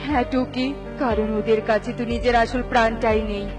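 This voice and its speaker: A woman's voice: fairly high pitch, level tone, brisk, music in the background, pitched below the performer's own voice, lightly acted. A woman voicing a wise, resolute bird companion in a children's cartoon, speaking seriously and explaining things. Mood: grave, explanatory. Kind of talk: Bengali children's cartoon dialogue.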